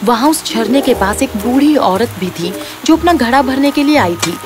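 A female storyteller reads a scripted fairy tale. Her voice is high and moves in lively, animated contours. Music plays clearly behind the narration. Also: moderate pace. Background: background music.

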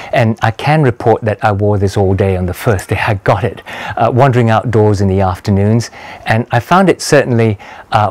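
Older guy in his 60s, lowish voice, chatty laid-back product review, kinda understated. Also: a little mellow, no room sound. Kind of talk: casual product review to camera. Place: small walled garden patio, outdoors. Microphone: lapel mic.

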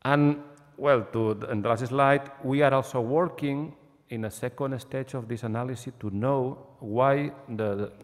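A man presenting at a conference, measured in delivery, repeating words and restarting his sentences. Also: medium pitch. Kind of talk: academic talk. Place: conference hall, seated at a panel table, masked. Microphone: desk microphone on a stand. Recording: long reverb of a large hall.

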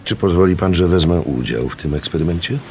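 An actor playing an observant, sceptical gentleman who narrates a radio mystery in a reflective storytelling manner. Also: sits low, brisk, soft background music, natural voice. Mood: curious.

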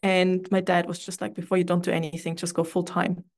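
A young woman from Austria, an English speaker, telling her story in a relaxed, chatty way as an interview guest over a video call from a room at home. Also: lowish voice, a little fast. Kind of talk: casual interview chat.

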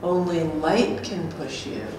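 A woman's voice: low-pitched, takes it slowly. A woman performing a wry, teasing, blunt character in a staged literary reading. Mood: dry, challenging. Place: small gallery room before an audience. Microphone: stand microphone, heard from a distant camera. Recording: echoey room, distant mic, faint noise.

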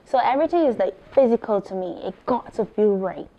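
A woman's voice: medium pitch, brisk, gravelly, highs cut off. A young woman from Barbados with a Barbadian accent, speaking into a handheld mic as the guest at a live artist talk in a small gallery. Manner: animated, expressive storytelling.

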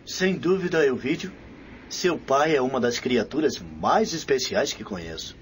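Man acting an animated, courteous Roman gentleman. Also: medium pitch, a little slow, close to an everyday voice. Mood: warm admiration. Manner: formal, emphatic. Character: courteous, admiring Roman gentleman, loyal friend. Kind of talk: radio drama acting. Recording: faint background noise and ambience.

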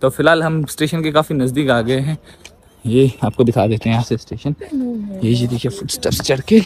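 A man's voice: medium pitch, steady tone, brisk, darkish tone. Young man chatting through a station tour. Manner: chatty, guiding viewers step by step. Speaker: travel vlogger. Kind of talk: walking tour narration. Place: outdoors at a railway station.

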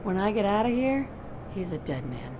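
An actress voicing a tough, defiant survivor in a video game, sardonic and confrontational. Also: female voice, very animated, moderate pace, close to an everyday voice. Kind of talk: video game character dialogue. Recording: game audio with noisy ambient rumble.

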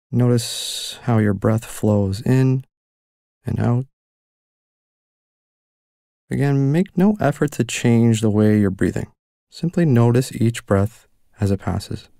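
A man leading a guided mindfulness meditation with slow, gentle and soothing instructions. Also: medium pitch, creaky, no room sound, clean studio sound.

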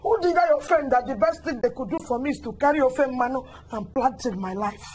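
A woman's voice: high voice, quick pace, darkish tone, slightly rough. An actress playing a suspicious, theatrical mother who accuses and wails at her son's girlfriend. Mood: weary lament. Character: dramatic Nigerian mother-in-law, suspicious and scheming. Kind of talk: Nollywood-style skit acting.

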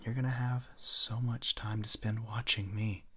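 Man acting a low-voiced possessive, obsessive lover. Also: level tone, sharp tone, heavily acted. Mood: flustered, defensive. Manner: intimate, halting, drops into breathy whispers. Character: possessive, obsessive young man, body-hopping stalker, flustered and rambling. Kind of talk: ASMR roleplay acting.

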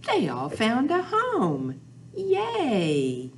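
An older woman hosts a storytime for young children with rhymes and counting. She speaks very slowly in a sing-song, repetitive way, with pitch that moves up and down a lot. There is some background noise behind her. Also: wildly swooping pitch. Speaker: children's librarian, storytime host. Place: small indoor room with whiteboard.

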